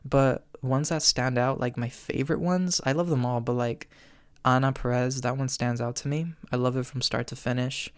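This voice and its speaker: A young man in his twenties hosts a solo listener Q&A, chatty and earnest, dropping ums and small laughs between thoughts. His voice sounds smooth and clear despite a tired edge that he says can crack. He speaks in a fairly flat, even tone, close to the mic in a dry room. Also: mid-range voice, normal speed, dry recording. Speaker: podcast host. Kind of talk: solo Q&A chat.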